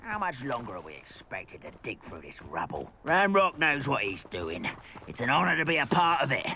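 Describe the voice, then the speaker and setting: A man's voice: mid-range voice, very animated, normal speed, pushed and bright, slight background noise. An actor playing a spiteful, menacing goblin fighter in a fantasy video game, taunting his enemy. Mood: grumbling, spiteful. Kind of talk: video game combat barks.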